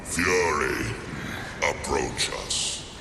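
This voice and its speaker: An actor voicing an ancient demonic judge for a video game, booming and gravelly, declaiming in grave, solemn ceremony. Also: male voice, very slow, background noise, full character voice. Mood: commanding, grave. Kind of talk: video game cinematic voice acting.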